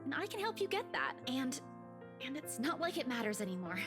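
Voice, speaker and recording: An eager young woman in a game, with a high, animated voice. She is insistent and playfully huffy, with clear music behind her. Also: fairly quick. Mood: earnest pleading. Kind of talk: visual novel game voice acting. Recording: game dialogue with loud backing music.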